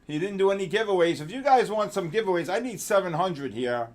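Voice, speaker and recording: A man's voice: sits high, animated. A middle-aged man with a New York accent runs a live market stream. He talks in loose, rambling, jokey bursts and calls out viewers by name. Now and then he stretches out a name like a ballpark announcer. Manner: drawn-out announcer-style calls. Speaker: trading livestream host. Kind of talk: casual live market chat.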